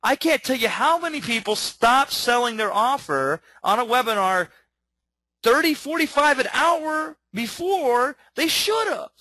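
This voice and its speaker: A man teaching a webinar in an energetic way, often checking in with okay and all right. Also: very high voice, no room sound. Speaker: webinar trainer.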